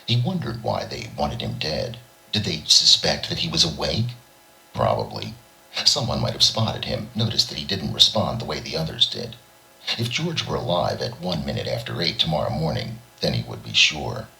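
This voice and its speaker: A male narrator with an extremely low, gravelly voice reads a short story dramatically. His delivery moves widely in pitch. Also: very animated, moderate pace, bright, forceful tone, poor audio. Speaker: audiobook reader.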